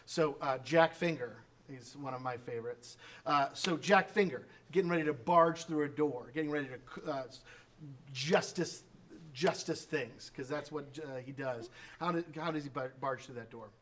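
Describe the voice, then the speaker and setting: A man's voice: medium pitch, moderate pace, a bit bright. A man from a radio drama troupe, casually prompting sound effects and rambling with many fillers and restarts. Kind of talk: casual demonstration talk.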